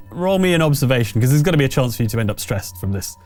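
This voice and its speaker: Guy game mastering a tabletop rpg, kinda hesitant chatty narration, average pitch, faint music. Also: expressive, fairly quick, darkish tone.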